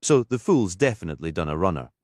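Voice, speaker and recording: A man voicing a gruff, sardonic police detective. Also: low voice, very animated, quick pace, a little mellow, no room sound, studio quality. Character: gruff, sardonic male police detective, world-weary and commanding.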